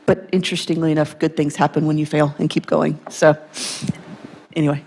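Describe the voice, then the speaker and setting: A woman from Dallas speaking on a panel, reflective and halting with many pauses. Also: low-pitched, little pitch movement, creaky, darkish tone, a little hiss or hum, rough recording. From Dallas, Texas. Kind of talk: panel discussion.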